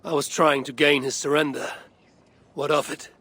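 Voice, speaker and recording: An actor playing a steadfast, diplomatic Viking raider in a video game cutscene, firm and measured. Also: male voice, a little high, flat delivery, pushed and bright, slightly rough. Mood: defensive.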